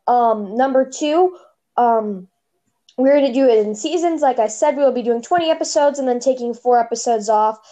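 A woman's voice: a little high, moderate pace, mellow. Chatty woman podcast host with a lisp. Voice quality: slight lisp. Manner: chatty, rambling, restarts often. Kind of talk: casual podcast chat. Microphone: phone.

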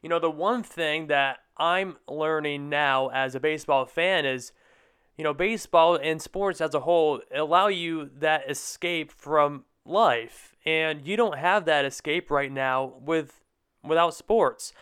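A man's voice: fairly high pitch, unhurried, fairly bright tone, smooth. A young man hosting a podcast, asking long, meandering questions in a conversational sports interview. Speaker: podcast host.

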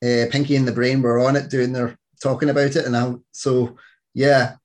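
A man's voice: mid-range voice, flat delivery, moderate pace. A man hosting a podcast, asking questions eagerly and filling his sentences with "like" as he trails off. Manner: eager, lots of "like" fillers, trailing off. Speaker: podcast host. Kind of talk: casual interview chat.

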